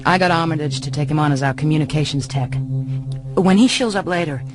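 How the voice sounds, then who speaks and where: A woman's voice: low voice, a little fast, lightly acted. An actress playing a tough, streetwise female mercenary in a radio drama, speaking in a blunt, clipped, knowing way. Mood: cool, confiding. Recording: music and street noise behind.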